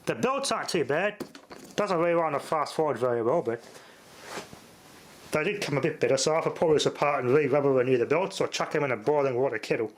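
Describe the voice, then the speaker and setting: A man's voice: a little high, quick pace, slight background noise. A man with an Australian accent giving a casual, unscripted demonstration in a laid-back, rambling, matter-of-fact way. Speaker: host of a repair channel.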